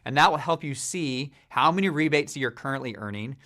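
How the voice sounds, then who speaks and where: A man giving a steady, businesslike corporate explainer about a supplier program, with frequent fillers. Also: mid-range voice, fairly quick. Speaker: company leader presenting a supplier program.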